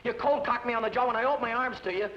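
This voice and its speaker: A man's voice: very high-pitched, rapid-fire. A man playing a bitter, agitated younger brother who speaks accusingly, in an old radio drama. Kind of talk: 1950s radio drama acting. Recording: echoey muffled old radio broadcast.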